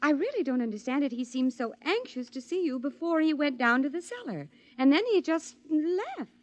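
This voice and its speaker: An actress playing a worried, puzzled young wife in a 1950s radio play. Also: female voice, high-pitched, very animated, moderate pace. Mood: uneasy.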